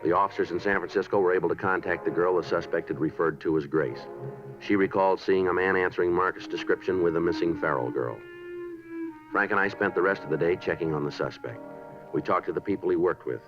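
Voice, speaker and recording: An actor in a 1950s radio drama playing a methodical police detective, clipped, factual and deadpan. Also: male voice, deep voice, fast, natural voice. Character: terse, methodical male police detective narrating his case. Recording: muffled 1950s radio with music behind.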